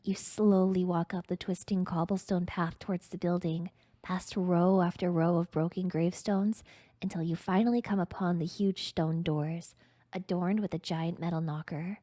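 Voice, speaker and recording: A woman with a slightly low voice, recorded dry and close. She narrates like a storyteller on a stream, theatrical and mock-grandiose in her delivery. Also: fairly even intonation, moderate pace, dark tone, no echo. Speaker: streamer reading fan fiction aloud.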